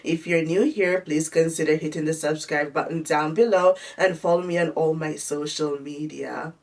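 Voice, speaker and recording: A woman's voice: low-pitched, fairly even intonation, normal speed, distant mic. A woman vlogger sounding a little nasal from a cold, chatting through a fashion try-on haul in a friendly, descriptive way.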